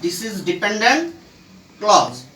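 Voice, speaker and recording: A teacher explaining English grammar step by step, in short halting phrases broken by pauses. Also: medium pitch, huge pitch swings, sharp tone. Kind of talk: slow explanatory grammar lesson. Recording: roomy echo, distant microphone.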